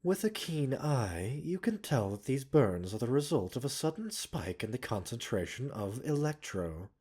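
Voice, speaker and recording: A man playing a gentle, composed pharmacist doctor in an ASMR roleplay, speaking softly and soothingly in polite, formal phrases with mild chiding and teasing. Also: medium pitch, very animated, moderate pace, husky. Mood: composed, self-assured. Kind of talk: ASMR roleplay audio drama.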